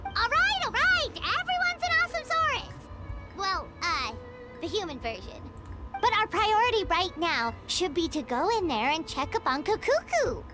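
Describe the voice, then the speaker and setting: An actor voicing a small, excitable companion creature in a video game, easily worried. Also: extremely high pitch, moderate pace, full character voice. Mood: exasperated. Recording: music under the voice.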